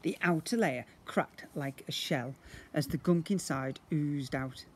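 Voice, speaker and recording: A man in his fifties reading a story to young children in an expressive way, playing up the sound words, recorded outdoors at arm's length. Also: on the high side, unhurried, slight background noise. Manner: expressive, acting out sound effects. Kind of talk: animated read-aloud storytelling for young children. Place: outdoors, beside brick houses. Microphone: phone or camera at about arm's length.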